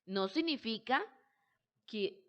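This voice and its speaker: A woman's voice: a little high, lively intonation, takes it slowly, lo-fi sound. A Colombian woman teaching a recorded lecture, explaining step by step with personal examples and checking in with her students. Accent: Colombian Spanish.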